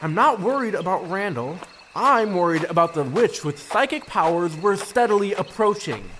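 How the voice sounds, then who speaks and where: A woman's voice: fairly low pitch, animated, normal speed, a bit bright, slight background noise, poor audio. A woman playing an anxious, hesitant young boy in an audio drama, nervous and faltering. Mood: worried. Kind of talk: scripted audio drama acting.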